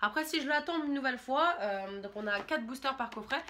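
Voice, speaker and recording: A young woman from France talks straight to her viewers in a loose, chatty, rambling way, clearly enthusiastic about her hobby. Her voice sits a little high and comes across bright and crisp. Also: expressive, fairly quick, a little hiss or hum. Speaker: YouTuber. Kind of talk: casual vlog monologue.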